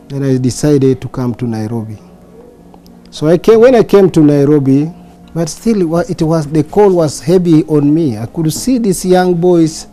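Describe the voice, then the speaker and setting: A man's voice: a little high, warm tone. An older man from Uganda, a pastor giving an earnest personal testimony with frequent restarts.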